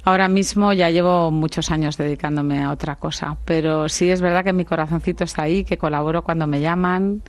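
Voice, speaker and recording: A woman from Spain, a business leader as the guest in a radio studio, answering in a reflective, unhurried way. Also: sits low, fairly even intonation, no echo. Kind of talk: radio interview conversation.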